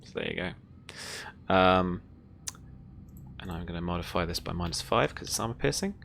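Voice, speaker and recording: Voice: low-pitched, expressive, moderate pace, creaky, a bit bright, a little hiss or hum. A game master running a tabletop roleplaying game in a casual way, talking players through rules and outcomes.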